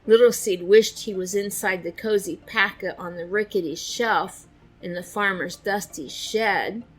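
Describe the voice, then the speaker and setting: A woman narrating a children's storybook with expressive, deliberate phrasing. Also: mid-range voice, slightly rough, faint music behind, low-quality recording.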